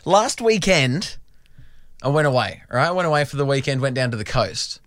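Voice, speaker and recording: A man's voice: medium pitch, moderate pace, no echo, studio quality. A young Australian man co-hosting a radio show, telling embarrassing stories with self-mocking humor. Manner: self-mocking storyteller, animated. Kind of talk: casual radio banter. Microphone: studio microphone.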